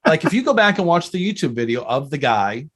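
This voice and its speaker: A man's voice: a little high, very animated, brisk, a bit bright. A man hosting a podcast, chatting casually in a salty, opinionated and effusive way. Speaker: podcast host. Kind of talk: casual interview chat.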